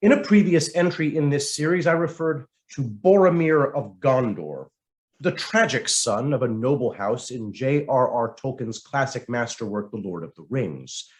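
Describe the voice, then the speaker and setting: Expressive man reading with big pitch swings. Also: normal speed. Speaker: reader of written commentary. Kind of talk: scripted narration, reading an essay aloud.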